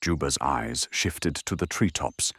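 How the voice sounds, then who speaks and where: A man's voice: rumbling low, measured pace, fairly bright tone, breathy edge, no room sound, studio quality. A man reading dramatic scripted narration for an anime audio drama. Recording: music under the narration.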